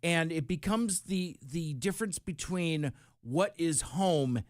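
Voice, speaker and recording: Lively-voiced reflective man from Seattle. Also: a little high, slow pace, dry recording, studio quality. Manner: reflective, illustrating points with quoted examples. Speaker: radio guest. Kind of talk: casual conversation.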